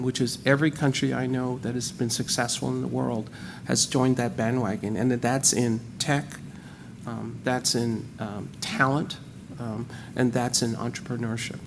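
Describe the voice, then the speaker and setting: Gravelly American man on a panel. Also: mid-range voice, steady tone, unhurried, background noise. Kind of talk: panel discussion.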